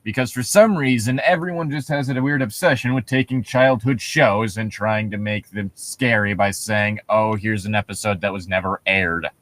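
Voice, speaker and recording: A man's voice: lowish voice, moderate pace, few pauses, fairly bright tone, dry recording. A man co-hosting a comedy show, bantering casually and mockingly reading internet stories aloud in a sarcastic, sweary way.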